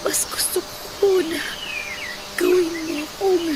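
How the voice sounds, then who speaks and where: Radio drama: gentle dying young bride, halting and breathy, played by a woman. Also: very high voice, level tone, heavy background noise. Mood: tender. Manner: soft, halting, at times whispered. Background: loud music.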